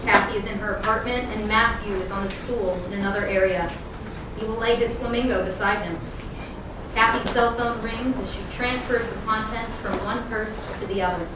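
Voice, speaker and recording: A woman's voice: mid-range voice, fairly even intonation, fairly quick. A woman reading scripted stage directions aloud as narrator at a staged play reading. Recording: echoey hall, distant mic, noisy.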